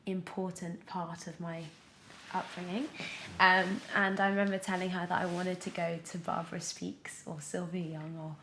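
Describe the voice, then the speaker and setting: A young British woman chatting casually as a podcast guest, telling stories in an animated way peppered with "like". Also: fairly low pitch, moderate pace, a little hiss or hum. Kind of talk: casual interview chat. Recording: roomy, echoey sound.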